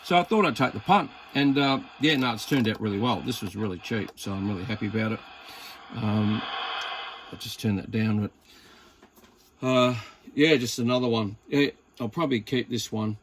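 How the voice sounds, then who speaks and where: Laid-back Australian man over clear background noise. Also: medium pitch, moderate pace. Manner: rambling, laid-back, hesitant phrasing. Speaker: radio hobbyist presenting a video. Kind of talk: casual chat while demonstrating equipment.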